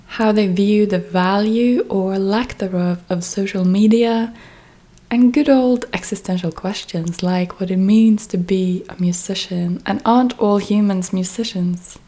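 A woman from Norway, a freelance cellist, hosting her own podcast in an earnest, measured monologue that lists her steps one by one. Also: medium pitch, warm tone. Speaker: podcast host. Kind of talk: reflective solo podcast monologue.

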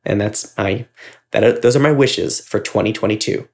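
A man with a fairly low voice co-hosts a conversational podcast. He speaks at length in an earnest, effusive way, casual and reflective as he goes. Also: moderate pace, gravelly. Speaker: podcast co-host and ad maker.

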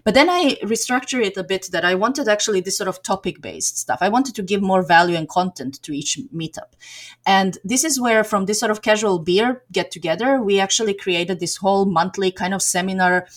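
A woman's voice: mid-range voice, a little fast. Woman, middle-aged, from Serbia, chatty, lots of fillers and restarts, casual interview talk. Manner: chatty, many fillers and restarts, laughs often. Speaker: game developer community organizer.

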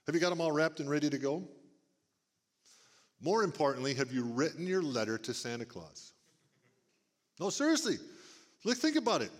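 Man with a big booming churchy voice. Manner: warm folksy preaching, big booming voice. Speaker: lay church speaker. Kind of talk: church talk to congregation. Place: church sanctuary. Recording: long reverb of a church hall. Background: church hall.

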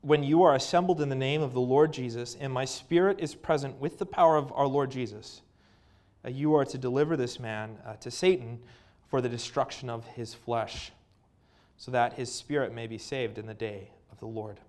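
A man's voice: mid-range voice, a little mellow. Canadian man preaching a sermon. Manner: earnest, with frequent fillers and pauses. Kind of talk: sermon with scripture reading and prayer.

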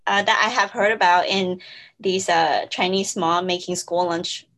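A woman's voice: fairly low pitch, steady tone, a little slow, a bit bright. A woman whose first language is Mandarin, a mother talking thoughtfully in a casual interview and laughing often.

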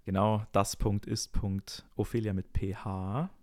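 Easygoing low-voiced young man. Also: a little slow. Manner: relaxed, easygoing, many fillers like "genau". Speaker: podcast co-host, jazz musician. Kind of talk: casual chat.

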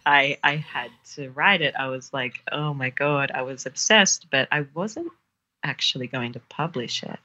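An Australian woman with an exceptionally low-pitched, husky voice that still has a bright, forward edge. She speaks casually as an author in an interview. Her manner is giggly and self-deprecating. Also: normal speed, lo-fi sound. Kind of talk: casual chat.

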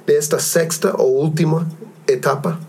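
A man hosting a discussion among missionaries, calmly explaining ideas and asking his guests questions. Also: a little high, normal speed, breathy edge. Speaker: podcast host, missionary. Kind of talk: hosted discussion.

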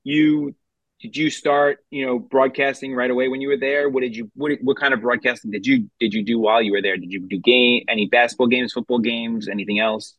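A man's voice: medium pitch, steady tone, brisk, fairly bright tone, no echo, lo-fi sound. An American man in his forties asking casual interview questions, informally rephrasing them as he goes. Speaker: podcast interviewer.

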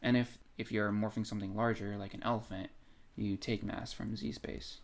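A man's voice: fairly low pitch, level tone, normal speed, vocal fry. A man co-hosting a podcast, theorizing in a casual, conversational way. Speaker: podcast co-host. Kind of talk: casual speculative discussion.

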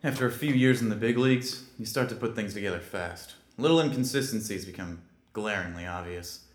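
A man playing a brooding kobold detective in a noir audio drama, wry and terse, with dramatic pauses. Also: fairly low pitch, lightly acted. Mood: knowing narration. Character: brooding male kobold detective, hard-boiled noir sleuth.

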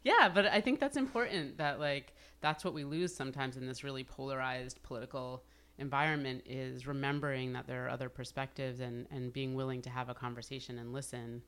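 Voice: medium pitch, fairly quick, few pauses, a bit bright, clean tone. An interviewer who talks thoughtfully and at length, with frequent "like" fillers. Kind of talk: casual interview talk.

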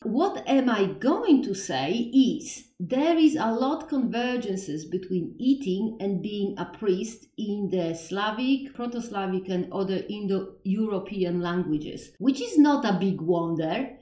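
A woman's voice: medium pitch, animated, slow pace, few pauses, dark tone. Polish-accented woman, roomy and distant. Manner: thinking aloud, self-deprecating, frequent laughs and restarts. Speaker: educator on Slavic history. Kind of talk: informal explanatory talk.

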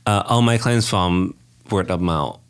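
A man's voice: low-pitched, unhurried, clear, resonant tone. A man from Thailand with a Thai accent, a wedding photographer talking casually in an interview, in loose non-native English. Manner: non-native English with dropped grammar endings, laughs easily.

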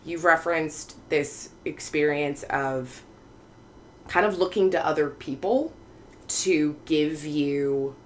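A woman's voice: low voice, slow, a little hiss or hum. A woman hosting a conversational interview, putting reflective questions in careful, tentative phrasing. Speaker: podcast host.

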